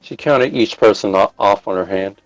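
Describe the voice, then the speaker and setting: Male narrator for scripted fiction, on the low side, very dry sound. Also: moderate pace, no room sound, faint music behind, low-quality recording. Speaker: story narrator.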